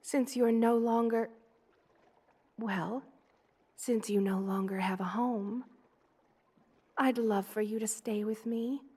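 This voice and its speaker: A woman voicing a gentle, doting spider queen in an intimate ASMR roleplay, soothing and tender in manner. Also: on the high side, steady tone, husky. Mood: hesitant, caring. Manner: soothing, tender, coaxing, with slow careful delivery.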